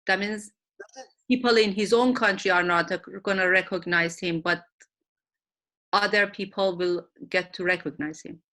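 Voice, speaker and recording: Bright-voiced woman. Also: medium pitch, fairly even intonation, normal speed. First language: Persian. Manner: earnest, explaining her view. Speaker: study group participant. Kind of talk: group discussion on a video call.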